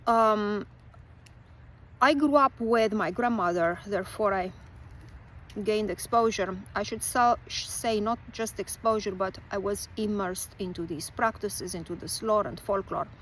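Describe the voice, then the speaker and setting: Slow, measured woman. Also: medium pitch, a little hiss or hum, poor audio. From Romania. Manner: measured and reflective, with many pauses. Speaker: folklore writer and teacher. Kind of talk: personal monologue recording.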